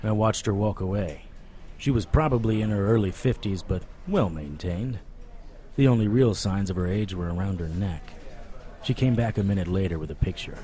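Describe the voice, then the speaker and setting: Male noir private eye, played by an actor, deep and dark-toned, wry, deadpan, audio drama. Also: moderate pace, lightly acted. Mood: wry, reflective. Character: wry, world-weary male private investigator of the supernatural. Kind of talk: first-person noir narration and dialogue in audio drama.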